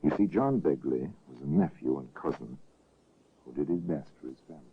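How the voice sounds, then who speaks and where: A man narrates a 1950s radio mystery slowly and gravely, his voice extremely deep with a husky edge. It comes through a tinny, narrow restored broadcast. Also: animated. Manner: slow, grave and theatrical. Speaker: host and narrator.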